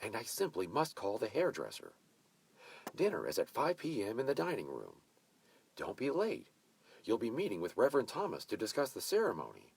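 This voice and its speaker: A man voicing a bossy, effusive mature woman who hosts a wedding party and gives orders briskly. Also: on the low side, wildly swooping pitch, creaky, slightly rough, pitched above the performer's own voice, full character voice. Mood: commanding. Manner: brisk, commanding, gushing.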